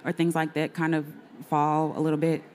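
Low-voiced woman asking from the audience. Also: monotone, a little fast, a little mellow, slight background noise. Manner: polite, slightly hesitant questioning. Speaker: audience member asking a question. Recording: heard from far off in the room.

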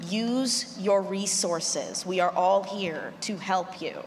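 A woman's voice: mid-range voice, animated, takes it slowly. A woman giving casual, encouraging advice as a panelist. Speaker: panelist, showrunner. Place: large indoor convention panel room. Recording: reverberant noisy conference room.

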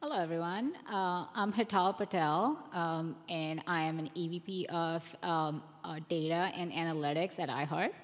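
A woman's voice: on the low side, fairly even intonation, moderate pace, few pauses. A woman introduces herself as an executive on a conference panel. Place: on stage at a conference session. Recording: reverberant conference room sound.

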